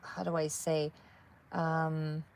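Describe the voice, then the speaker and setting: Woman, tarot reader, calm and soothing, slightly low voice, rambling delivery. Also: takes it slowly, vocal fry. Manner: calm, soothing, meandering with fillers. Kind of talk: live tarot reading.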